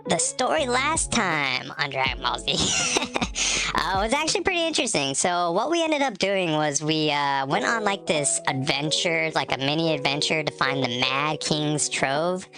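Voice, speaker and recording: A woman with a notably low voice, nasal and stuffed up from allergies, chatting loosely with her live-stream viewers. She laughs easily and greets people by name between bits of rambling talk. Clear music plays underneath her. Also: animated, unhurried, pushed and bright. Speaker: game streamer. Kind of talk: casual live-stream chat.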